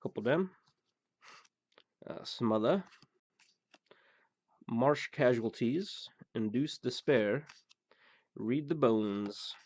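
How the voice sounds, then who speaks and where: A man hosting a pack-opening show, rambling through the cards with many fillers and blunt remarks, his voice going hoarse. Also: medium pitch, lively intonation, extremely slow, long pauses, darkish tone, no echo. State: losing his voice. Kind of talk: casual card-opening commentary. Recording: dull, dry recording.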